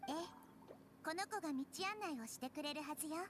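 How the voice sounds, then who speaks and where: A woman voicing a gentle, wise little girl deity in an anime game, calm and thoughtful as she explains things. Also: high-pitched, very fast, background noise, close to an everyday voice. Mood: calm explaining. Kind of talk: anime video game acting. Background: music.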